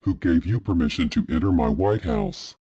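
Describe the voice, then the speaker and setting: Guy doing a boastful skeleton monster villain, dark husky voice, taunting, kinda muffled lo-fi. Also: sits low, huge pitch swings, normal speed, heavily acted. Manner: taunting, boastful, speaks in clipped phrases. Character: menacing skeleton monster warlord, boastful and brutal.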